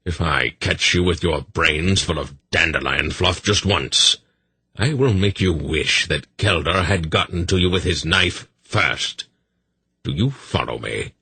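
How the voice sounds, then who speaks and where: A man voicing a testy, stern old sorcerer in an audiobook, speaking with quiet menace. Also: sits low, lively intonation, measured pace, gravelly, breathy edge, no echo, heavily acted. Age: older.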